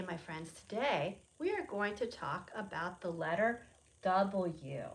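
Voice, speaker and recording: A woman presenting a preschool storytime, reading aloud and explaining in simple, expressive phrases with questions for young children. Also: on the low side.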